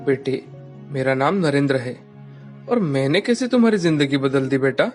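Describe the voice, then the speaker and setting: Woman as a low-voiced, fatherly older traveller. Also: lively intonation, normal speed, a little mellow, soft background music. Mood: grateful, curious. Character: kindly older male traveller, gentle and fatherly. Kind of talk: moral storybook character dialogue.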